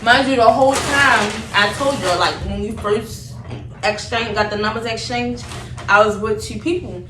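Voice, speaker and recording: A young woman tells a story in a casual, animated way. Her talk is slangy and loops back on itself as she retells things. She is a little far from the mic in an echoey room, with clear background noise. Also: a little high, normal speed. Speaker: vlogger telling a story. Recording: roomy, distant mic, noticeable background noise.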